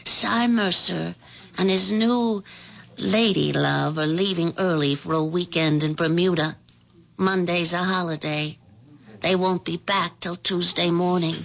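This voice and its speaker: A woman's voice: lowish voice, measured pace, creaky, a bit bright, full character voice. An American woman acting a scheming, seductive femme fatale in a 1970s radio drama, coaxing and commanding. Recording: dull lo-fi 1970s radio recording.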